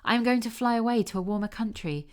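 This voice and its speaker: A friendly male swallow from a storybook, voiced by a woman. The bird is earnest and coaxing, speaking a little higher with grateful, encouraging warmth of intent. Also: fast talker, natural voice. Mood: eager, coaxing.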